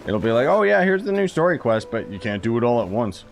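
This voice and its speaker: A man with a medium-pitched voice streams a video game. His commentary is casual, informal and sarcastic, with faint music behind him. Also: big pitch swings, quick pace, creaky. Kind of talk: casual live gameplay commentary.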